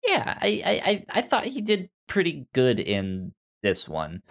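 A man discusses a film casually as a podcast co-host, speaking slowly with very mobile intonation. His delivery is thoughtful and halting, with a frequent stutter that repeats sounds and syllables. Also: on the high side, huge pitch swings, gravelly, fairly bright tone.

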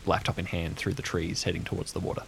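A man narrating an improvised audio drama as game master, in a measured, dramatic storytelling manner. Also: deep voice, flat delivery, gravelly, slight background noise.

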